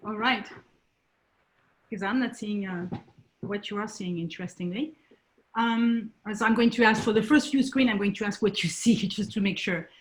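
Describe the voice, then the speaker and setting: A woman's voice: mid-range voice, moderate pace, rough recording. A woman from France with a French accent, presenting at an online talk as a nonprofit founder, speaking with many hesitations and restarts over a video call.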